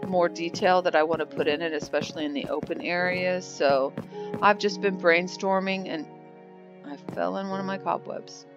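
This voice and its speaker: A woman giving casual, rambling gameplay commentary while touring her builds, a little congested from sinus trouble. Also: lowish voice. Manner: rambling, thinking aloud. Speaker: game server builder. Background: background music.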